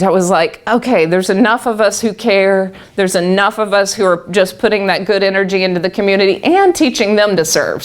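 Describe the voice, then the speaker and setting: A middle-aged woman telling a group her own story, laughing often and restarting her sentences. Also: medium pitch. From Texas. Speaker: guest speaker. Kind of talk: personal talk to a live group.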